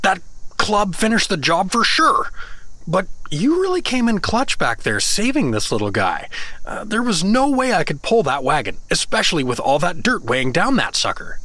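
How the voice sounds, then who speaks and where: A man's voice: high-pitched, big pitch swings, normal speed, sharp tone, dry recording, full character voice. A man playing an affable, flustered suburban husband stranded in a fantasy world, talking in a casual, jokey, hesitant way in a comedic audio drama. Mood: grateful, chatty.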